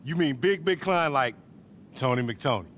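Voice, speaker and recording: A man's voice: medium pitch, animated, normal speed, a bit bright, lightly acted. A man acting a brash, aggrieved small-time hustler in a video game, boastful and profane, with an American accent. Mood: excited pleading. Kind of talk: video game cutscene dialogue, slangy.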